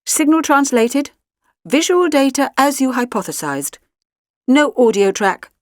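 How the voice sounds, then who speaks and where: Female reader as male supercomputer in a storybook: calm, analytical, formal, closely recorded with no room sound. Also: sits high, dry recording, clean studio sound, voice pushed up. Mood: formal, reporting. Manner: formal, measured, technical phrasing.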